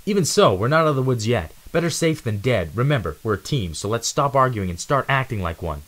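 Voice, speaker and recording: A mouse squad commander from an adventure storybook, voiced by a man, firm and serious. He carries himself with authority, lifting his pitch above a deep natural voice to rally his team. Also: sing-song, a little fast, fairly bright tone. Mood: commanding.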